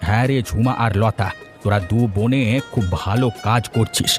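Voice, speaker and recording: A man playing a kindly, encouraging village gentleman in a cartoon, hearty and fond of repeating words. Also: lowish voice, steady tone, normal speed, faint music behind, lightly acted. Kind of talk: Bengali cartoon voice acting.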